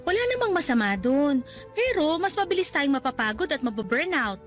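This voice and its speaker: A kind, upbeat young woman who cheers a shy friend on, her voice sitting high and bright. She speaks in a classic radio-drama style. Music plays clearly under her lines. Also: big pitch swings, brisk. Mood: encouraging. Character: kind gentle young woman volunteer, Diana.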